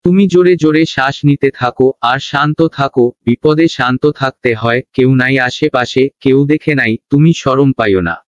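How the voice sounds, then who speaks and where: A man's voice: mid-range voice, moderate pace, few pauses, natural voice. Casting: man as blustering cartoon animal sports coach, instructing and full of himself, muffled recording. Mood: reassuring. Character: boastful, bumbling male animal sports coach, secretly timid. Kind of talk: children's cartoon dialogue.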